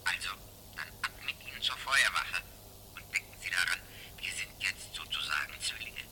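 A man's voice: sits low, animated, moderate pace, sharp tone, a little hiss or hum, exaggerated voice. An actor playing an old man, a secret mentor who whispers urgent, scolding warnings in a 1970s German radio drama. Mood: pleading, insistent. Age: older.

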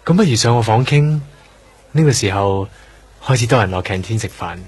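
A man's voice: fairly low pitch, lively intonation, moderate pace, very noisy, close to an everyday voice. A man with a Hong Kong accent playing an earnest, polite young trainee doctor in a radio drama. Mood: earnest, apologetic.

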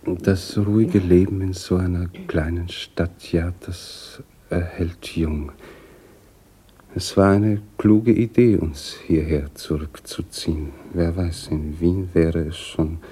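An actor playing a courteous, melancholy middle-aged husband in a literary radio play, speaking haltingly with a breathy, husky voice. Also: male voice, low voice, fairly even intonation, mellow, full character voice. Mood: melancholy, hesitant. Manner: halting, hesitant, old-fashioned politeness. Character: melancholy, courteous ailing husband in a small town.

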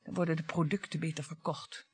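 An actress playing a bitter, mocking middle-aged wife in a radio play, with a taunting, theatrical manner. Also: female voice, deep voice, level tone, brisk, slightly rough. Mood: sardonic.

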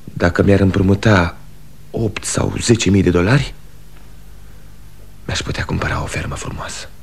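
An actor playing a dreamy, dissatisfied young brother, earnest and yearning, in a 1960 radio drama. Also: male voice, deep voice, very animated, vocal fry, a little hiss or hum, heavily acted. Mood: wistful, resigned. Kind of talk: 1960 Romanian radio theatre acting.

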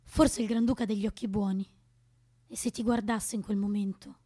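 A woman's voice: medium pitch, steady tone, a little fast, mellow, dry recording, lightly acted. An actress playing a grave, compassionate revolutionary woman, speaking solemnly and searchingly in a radio drama. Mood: doubtful, searching. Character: grave, compassionate female revolutionary, doubtful about killing. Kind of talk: theatrical radio drama acting.

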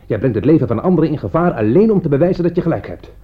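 A man's voice: on the high side, expressive, rapid-fire, close to an everyday voice. An actor playing an indignant, sceptical man of the law in a radio play, scornful and moralizing. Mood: stern, accusing. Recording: dull, muffled old radio play recording.

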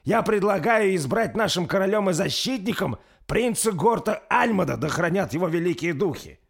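A man voicing a defiant, scheming lord who speaks loudly and taunts his rival. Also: high voice, lively intonation, normal speed, vocal fry, breathy edge, voice pushed up, heavily acted. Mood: solemn. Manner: loud and taunting.

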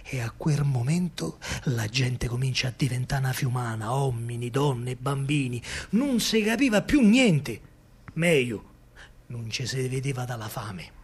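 An actor playing an excitable working-class man with a Roman accent and a husky voice, recounting wartime scavenging in a colloquial theatrical monologue. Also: mid-range voice, a little slow. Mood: vivid, suspenseful. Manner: animated storytelling, colloquial.

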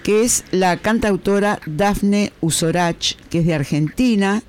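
High-voiced radio host man. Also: a little slow, clear, resonant tone. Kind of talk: radio interview.

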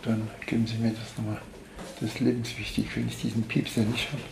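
An older man with a gravelly voice, speaking haltingly and repeating himself. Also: lowish voice, flat delivery. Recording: roomy, echoing, faint noise and rustling.

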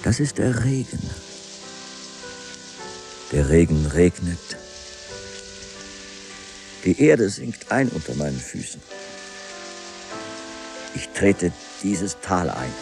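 An actor playing a brooding, lonely wandering man in a halting, despairing radio drama monologue. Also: deep voice, big pitch swings, vocal fry, darkish tone, faint music behind, heavily acted. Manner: halting, brooding, fragmented phrases.

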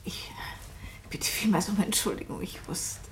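An actress playing a polite, tactful woman novelist with a gently hesitant manner in a German radio play. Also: medium pitch, steady tone, moderate pace, slight background noise, close to an everyday voice. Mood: embarrassed.

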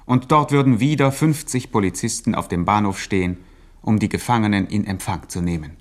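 A man narrating a radio crime satire in a measured, dryly ironic scripted style. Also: lowish voice.

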